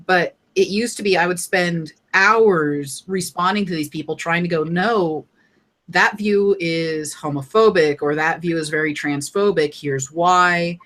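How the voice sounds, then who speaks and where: A man as a guest in a casual conversation, talking loosely and trailing off mid-sentence, with a higher-pitched voice. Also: measured pace, bright, forceful tone.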